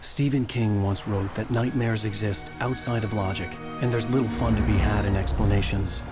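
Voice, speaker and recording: A man acts out a haunted writer in a video game thriller. His voice sits low and he speaks in a measured, brooding way. Loud music plays over him. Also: steady tone, natural voice. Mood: brooding, uneasy. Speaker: narrator and lead character. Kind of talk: scripted video game narration.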